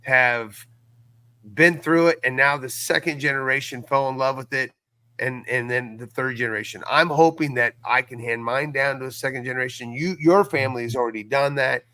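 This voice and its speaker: A middle-aged man in casual podcast conversation, telling things in an earnest, folksy, animated way. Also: medium pitch, normal speed, no echo. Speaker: podcast guest and audio series creator.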